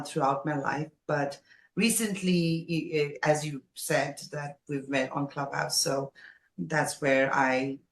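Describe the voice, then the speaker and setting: A woman from Nepal speaking effusively as a guest in a casual conversational interview. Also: low-pitched. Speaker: podcast guest, former radio jockey. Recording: roomy, echoey sound.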